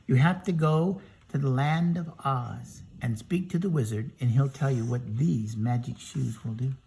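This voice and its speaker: A man's voice: medium pitch, measured pace, gravelly, a little mellow, a little hiss or hum. A man voicing a kindly, helpful good witch in a storybook reading, close to his own voice. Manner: plain, close to the reader's own voice.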